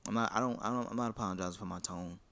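A man with a low, gravelly voice argues his side bluntly in a casual chat. He speaks emphatically and swears freely, and never softens his point. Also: racing. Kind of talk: heated casual debate.

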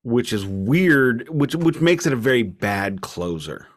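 A man's voice: mid-range voice, sing-song, measured pace. Opinionated man chatting about records. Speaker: music podcast co-host. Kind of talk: casual album review chat.

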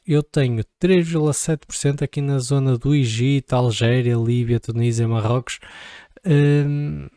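Joking young Portuguese man, mellow voice. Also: mid-range voice, clean tone, dry recording, clean studio sound. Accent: European Portuguese. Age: young adult. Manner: joking, rambling, playful asides. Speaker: vlogger. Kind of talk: casual chat to camera.

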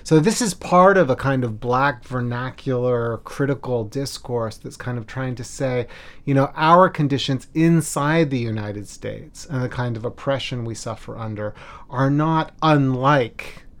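A man's voice: medium pitch, unhurried. Man giving thoughtful, emphatic historical commentary. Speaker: scholar, expert commentator.

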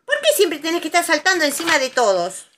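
A woman's voice: high-pitched, big pitch swings, moderate pace, pushed and bright, a little hiss or hum, voice pushed up, heavily acted. A woman voicing a bossy male rabbit who scolds indignantly in a children's storybook. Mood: angry, scolding.

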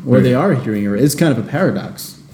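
A man's voice: medium pitch, animated, fast talker, dark tone, echoey room. A man hosting a podcast, chatting casually and joking, often laughing as he rambles. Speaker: podcast host.

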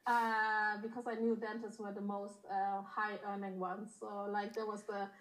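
A woman's voice: medium pitch, flat delivery, moderate pace, low-quality recording. A young adult woman from Germany, chatting as a podcast guest, giggly and full of fillers and restarts. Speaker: guest, pleasure and intimacy coach. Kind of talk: casual podcast interview.

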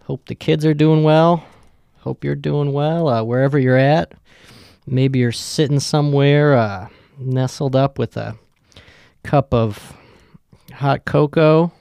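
A man's voice: mid-range voice, fairly even intonation, takes it slowly, creaky, pauses often, darkish tone, smooth, dry recording, studio quality. A young man hosting his own podcast, talking in a loose, joking and rambling way, with lots of swearing. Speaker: podcast host. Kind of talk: casual rambling monologue.

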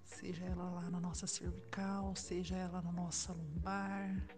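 A Brazilian woman guiding a relaxation meditation in a soft, soothing way, with long pauses and breathy phrase endings. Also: lowish voice, flat delivery. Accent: Brazilian Portuguese. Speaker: meditation guide. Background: background music.

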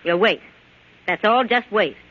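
A woman's voice: medium pitch, measured pace, slightly rough. A woman playing a hard, scheming, suspicious murder plotter in a 1940s American radio thriller, speaking in a tense, clipped, menacing way. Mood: cold, accusing. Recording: muffled old-time radio recording.

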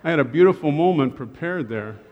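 A man's voice: fairly high pitch, expressive, a little fast, phone-quality sound. A middle-aged man preaching a humorous sermon to his congregation from a church stage, with joking, self-deprecating asides. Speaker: pastor. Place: church sanctuary. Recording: long reverb of a church hall.

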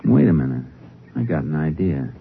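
An actor playing a steady, resourceful Texas Ranger in a 1950s radio drama, speaking in a terse, measured way. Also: male voice, a bass voice, creaky, breathy edge, exaggerated voice. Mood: calm, decisive. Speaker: lead actor and narrator. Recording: tinny, noisy old radio recording.

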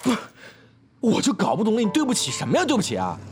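A man's voice: high voice, huge pitch swings, quick pace, faint music behind. A young man acting in a Chinese audio drama as a brash, impatient but protective schoolboy with a blunt, slangy way of talking. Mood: exasperated.

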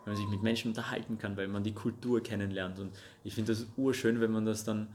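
A man's voice: on the low side, steady tone, fast, darkish tone, slight background noise. A young man from Russia, raised speaking Russian and Armenian, earnestly chatting as a podcast guest with frequent hesitations. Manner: earnest, talks with hesitant ums. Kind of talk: casual interview chat.

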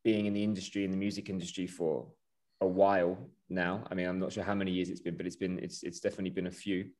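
Male podcast host with a lowish voice; warmly effusive, winding, earnest questioner. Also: flat delivery, fast. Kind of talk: casual podcast interview.

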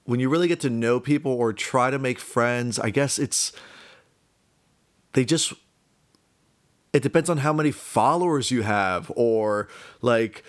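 A man's voice: medium pitch, a little slow, pauses often. A young adult man speaks in a mid-range voice. He talks casually and personally, rambling a little as he feels his way through each thought with hesitant restarts. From Miami, United States. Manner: rambling, hesitant, thinking aloud. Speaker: speaker telling about own life.

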